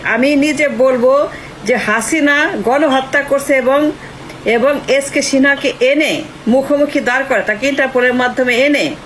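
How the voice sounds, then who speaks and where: A woman's voice: high-pitched, fairly bright tone. An older woman with a Bangladeshi accent talks earnestly and emphatically on a phone call, repeating herself and dropping in English phrases. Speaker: writer and activist on a phone call. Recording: noisy phone call recording.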